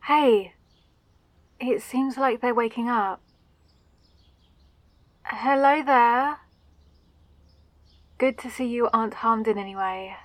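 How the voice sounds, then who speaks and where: A woman performs a kind, curious female mage for a fantasy audio roleplay. Her voice sits fairly high and is recorded close and dry. She speaks softly and courteously, with a slightly old-fashioned turn of phrase and an unrushed delivery. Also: slow pace, long pauses, no echo. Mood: curious, friendly. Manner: polite, soft-spoken, soothing, old-fashioned phrasing. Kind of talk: roleplay audio drama addressed to the listener.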